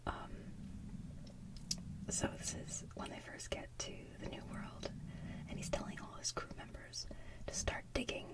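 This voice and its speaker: A woman hosting ASMR in a hushed, breathy, playful way, moving between whispered chat and soft singing. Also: unhurried, pauses often. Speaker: ASMR channel host.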